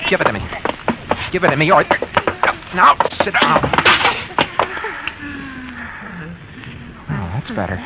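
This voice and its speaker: Vintage radio crime drama: callous schemer, melodramatic, widely swinging pitch, noisy old recording. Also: male voice, dull audio. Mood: commanding. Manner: sneering, excitable, melodramatic. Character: callous, scornful murderer who bluffs and panics. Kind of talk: 1940s radio suspense drama acting.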